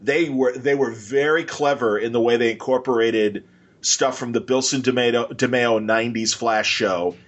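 Husky, effusive man in casual chat. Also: mid-range voice, fairly even intonation, normal speed, lo-fi sound. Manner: effusive, rambling, informal. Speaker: podcast host.

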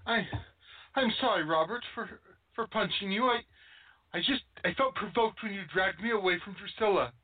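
A man's voice: sits high, normal speed, phone-quality sound. An actor playing an apologetic, lovestruck young man who stammers and hesitates, in a radio play. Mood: contrite, flustered.

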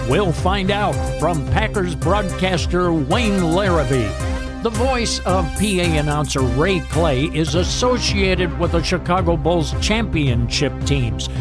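Man, radio show host, upbeat, scripted delivery, slightly high voice.

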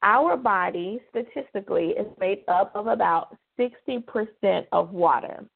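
Slightly hoarse woman on a phone line. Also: mid-range voice. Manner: chatty, drawn-out emphasis on key words. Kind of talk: casual devotional radio talk. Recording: phone-line call-in radio.

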